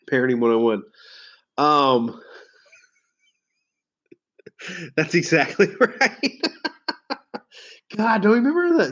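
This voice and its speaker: A man hosting a casual livestream. He tells rambling stories, laughs and swears easily, and sounds hoarse from a head cold. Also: sits high, fairly bright tone. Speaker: car sales livestream host. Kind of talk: casual livestream chat with viewers. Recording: dull lo-fi stream audio.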